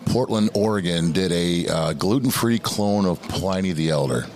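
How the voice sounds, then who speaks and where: A man with a very deep voice chats easily on a podcast. He hesitates with little fillers while keeping a relaxed, friendly flow. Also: moderate pace. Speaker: podcast co-host. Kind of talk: casual chat.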